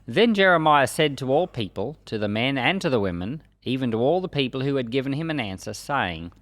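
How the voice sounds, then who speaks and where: A man's voice: medium pitch, sing-song, a little fast, a bit bright, no room sound. A man reading scripture aloud as a Bible teacher, in a solemn, emphatic declaiming manner.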